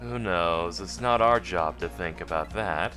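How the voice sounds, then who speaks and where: A man's voice: low-pitched, unhurried, sharp tone, faint music behind, pitched below the performer's own voice. A man voicing a cool, aloof female villain from a video game. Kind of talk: video game character dub in a let's play.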